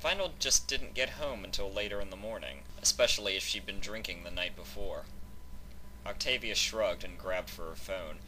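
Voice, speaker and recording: A man's voice: low-pitched, normal speed, bright, forceful tone, slight background noise, poor audio. A man reading a scripted fan fiction story aloud as its narrator.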